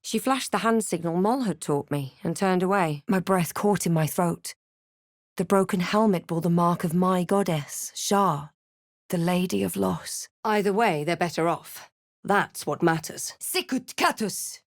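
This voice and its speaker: A snarky brooding spellcaster girl from a fantasy game, slow and theatrical, lowish voice, really dry close recording. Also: no room sound. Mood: wry, snarky, then incantation, intense. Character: female adventurer hero, snarky, brooding, spell-casting. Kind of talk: fantasy video game voice lines, narration and barks.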